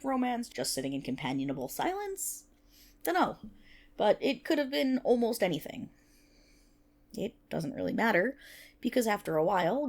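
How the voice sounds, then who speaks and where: A woman playing a nerdy, playful best friend in an ASMR roleplay, rambling casually to the listener with wry asides and self-interruptions. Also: fairly high pitch. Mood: enthusiastic storytelling.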